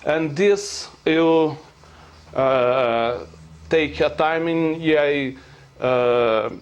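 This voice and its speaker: A man with a Brazilian Portuguese accent lectures in a slightly high voice, taking his time over every phrase. His English is earnest and halting, full of pauses and filler sounds as he searches for words. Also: clean tone, highs cut off. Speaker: self-taught theorist presenting his theory. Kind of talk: slow explanatory lecture.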